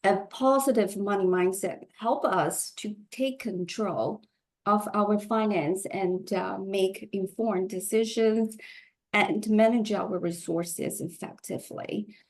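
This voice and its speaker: A woman guest coach speaks on a video call at a mid pitch. She talks slowly and haltingly, with fillers and the odd light laugh. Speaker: money mindset coach. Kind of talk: guest interview. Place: Vancouver, Canada.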